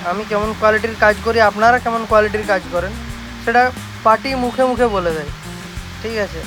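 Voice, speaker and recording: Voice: mid-range voice, fairly even intonation, brisk, over music. A shop owner with a West Bengal accent giving an informal, insistent, repetitive promotional talk to viewers.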